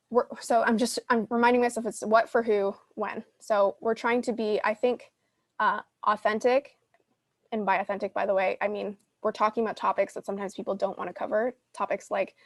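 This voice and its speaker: A woman's voice: medium pitch, dry recording. Casual woman giving advice, dry close sound. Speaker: podcast host teaching a live Q&A session. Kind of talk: casual advice, answering audience questions.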